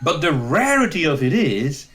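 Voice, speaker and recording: A man's voice: a little high, very animated, moderate pace, fairly bright tone, slight background noise. A man playing a kindly, optimistic, long-winded old courtier in a Shakespearean audio drama, wordy and earnest. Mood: earnest cheer.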